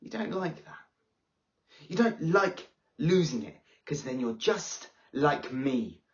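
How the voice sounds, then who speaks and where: An actress playing an angry excluded pupil who bitterly accuses a teacher, delivering a slow dramatic monologue. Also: female voice, sits low, big pitch swings, lo-fi sound, heavily acted. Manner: bitter, accusing, rising to yelling.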